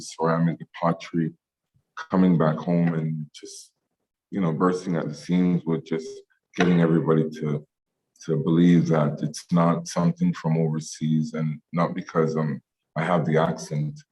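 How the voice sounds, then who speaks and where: A man from Jamaica speaking as a ceramic artist in an online meetup interview, halting with many fillers and restarts. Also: sits low, darkish tone, poor audio.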